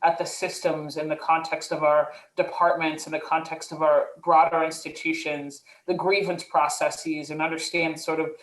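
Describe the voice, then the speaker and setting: A woman's voice: deep voice, flat delivery, moderate pace, few pauses, echoey room, low-quality recording. A woman speaking thoughtfully as a panelist in a webinar discussion, often hedging with "sort of" and "like.". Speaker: panelist, mental health professional.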